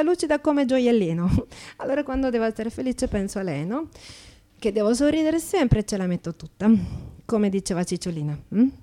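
A woman's voice: a little high, warm tone, lightly acted. A woman playing a brash, cheerful Slovak prostitute. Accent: Slovak-accented Italian. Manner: chatty, broken grammar, frequent tag questions. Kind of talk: theatrical monologue to listeners.

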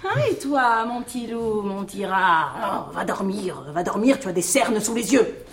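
An actress playing a world-weary, babying mistress of the house in a theatrical drama, cooing and exclamatory. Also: female voice, high voice, sing-song, a little slow, reverberant. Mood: soothing. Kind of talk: French theatrical drama acting.

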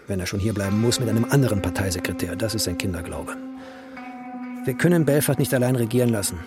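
An actor playing a burdened, pleading party secretary in a restrained German radio drama, with a husky voice. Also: male voice, mid-range voice, a little mellow, no room sound.

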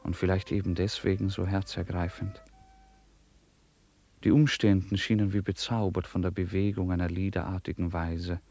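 A man narrating a literary radio play in a measured, formal storytelling manner. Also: sits low, flat delivery, darkish tone.

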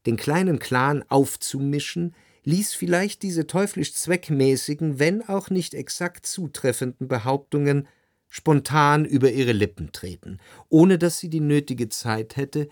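An actor playing a reflective literary narrator in a radio drama, articulate and contemplative. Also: male voice, fairly high pitch, lively intonation, measured pace. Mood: reflective, analytical.

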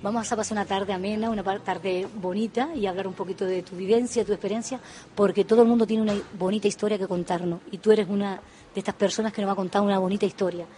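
A woman hosting a radio interview show. She talks in a warm, chatty, affectionate way. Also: medium pitch, fairly even intonation, fast, a little hiss or hum. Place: local radio studio.

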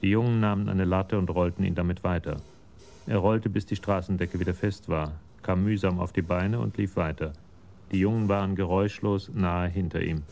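An actor as the sober, detached storyteller narrating a scripted radio crime drama. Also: male voice, low-pitched, steady tone, normal speed, creaky, darkish tone.

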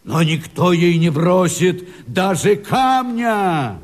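A man's voice: high voice, sing-song, slow pace, exaggerated voice. A man acting an alarmed, foreboding Cossack rebel, declaiming verse with passion in a radio drama. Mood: ominous, foreboding.

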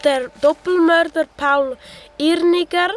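An actress playing a stern, measured court reporter announcing a sentence in a radio drama. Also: female voice, very high voice, fairly even intonation. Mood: stern, official. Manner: measured, official. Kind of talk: radio drama, solemn documentary reading.

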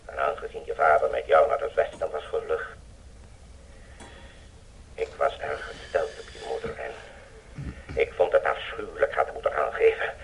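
A very deep male voice in a radio play. He is a grave, menacing defector who blackmails others, deliberate and bitterly self-justifying. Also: steady tone, background noise. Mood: bitter, defensive. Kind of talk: Dutch radio play acting.

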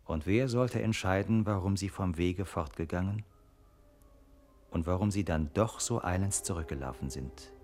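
An actor as a grave, brooding narrator of a literary radio play, speaking solemnly and posing rhetorical questions. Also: male voice, low-pitched, normal speed, darkish tone, soft background music, close to an everyday voice. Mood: solemn, questioning.

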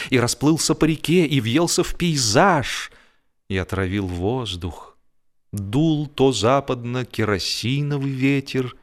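A man narrating a humorous literary story in an expressive, theatrical storytelling manner with drawn-out emphasis. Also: mid-range voice. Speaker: audiobook narrator.

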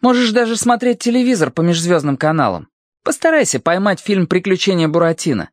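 A man plays a confident, clever boy in an audiobook space adventure. He sounds matter-of-fact and slightly mocking, high and lively in pitch, with a very dry sound. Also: a little fast, no room sound. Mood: dryly mocking.

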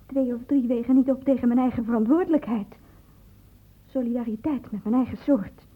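A woman acting a guarded young student in a radio play, anxious and loyal. Her voice is very high and breathy. It comes through a narrow, tinny old recording. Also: fairly quick, close to an everyday voice. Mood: guarded, defiant. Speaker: actor in a radio drama.

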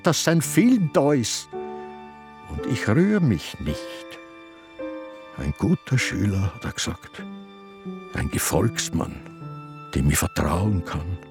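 An actor with a Viennese accent playing an elderly man in a sanatorium who slowly broods over his memories, halting and confessional. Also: medium pitch, creaky, darkish tone, full character voice. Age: older. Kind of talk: radio play acting with inner monologue.

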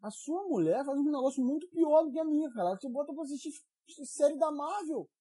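A man from Rio de Janeiro hosting a casual podcast, teasing his co-hosts with quick joking asides. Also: extremely high pitch, animated, warm tone. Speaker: podcast host.

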